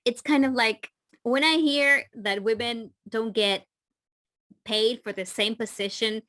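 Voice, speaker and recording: A woman in her forties from the Dominican Republic, a leadership coach speaking as a podcast guest with many halting restarts. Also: a little high, pushed and bright, no room sound. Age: 40s. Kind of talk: casual interview talk.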